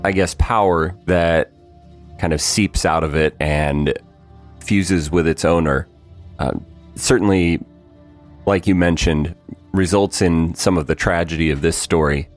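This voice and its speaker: A man with a very deep voice leads the conversation at an easy, measured pace. He prompts his partner with questions, pausing on "um" and "uh". Faint music runs underneath. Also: steady tone. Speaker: podcast co-host, interviewer. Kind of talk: casual behind-the-scenes chat.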